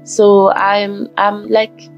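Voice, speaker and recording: A woman from Ghana talking casually in a motivational vlog, with a croaky just-woken voice and a rambling manner that repeats and corrects itself. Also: mid-range voice, monotone, very slow. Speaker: vlogger. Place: forest fitness trail outdoors. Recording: dull lo-fi audio with faint background music.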